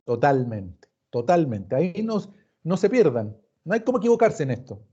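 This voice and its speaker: Chilean male professor, very dark voice. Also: a little high, very animated, moderate pace, husky. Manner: didactic, emphatic repetition, acts out questions and answers. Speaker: law professor teaching an online class. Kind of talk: informal explanatory lecture with rhetorical questions. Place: recorded online meeting. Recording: dull, muffled online class recording.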